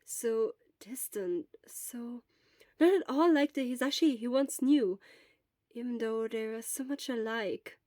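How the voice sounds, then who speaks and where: Soft-spoken woman narrating a story. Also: fairly high pitch, unhurried, no echo. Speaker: fan fiction reader.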